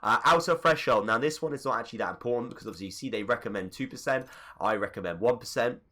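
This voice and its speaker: Gaming YouTuber, male, bright tone, bunged-up nose, rambling tutorial delivery. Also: mid-range voice, fast talker. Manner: chatty, rambling asides. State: congested from a cold. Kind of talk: casual settings tutorial voice-over.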